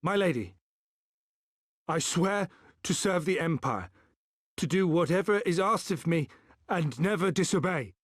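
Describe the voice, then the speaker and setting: A frightened village man in a video game. He sounds deferential and submissive, in a somewhat high male voice, recorded dry. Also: animated, measured pace, pauses often, dry recording. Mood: fearful submission. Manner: humble, deferential. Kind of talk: video game cutscene acting.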